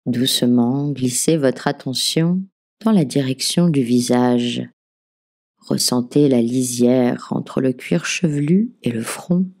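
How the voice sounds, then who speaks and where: A woman leading a guided relaxation as a meditation teacher, giving gentle, soothing, measured instructions. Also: rumbling low, breathy edge. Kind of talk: guided yoga nidra relaxation narration.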